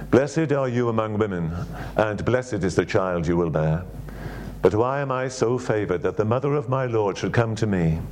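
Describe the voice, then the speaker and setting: An older Welsh man delivers a sermon and reads scripture at an easy pace, pausing often with small fillers. His voice sits low, and faint background noise hangs under it. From Wales. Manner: gentle, unhurried, with frequent ums and uhs. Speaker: church secretary giving a sermon.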